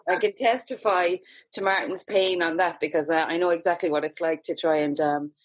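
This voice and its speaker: A woman's voice: lowish voice, fairly even intonation, a little fast. A woman with an Irish accent, talking casually as a podcast host. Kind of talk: casual interview hosting.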